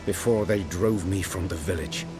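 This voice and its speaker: Actor voicing a melancholy outcast hunter, lowish voice, guarded and plain, music under. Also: male voice, moderate pace, close to an everyday voice. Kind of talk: video game voice acting. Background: game music.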